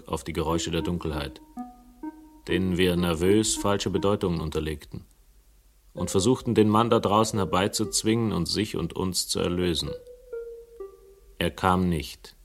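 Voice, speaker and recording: An actor playing the dry, measured, literary narrator hero of a radio crime drama. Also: male voice, deep voice. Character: wry first-person narrator hero of a crime story.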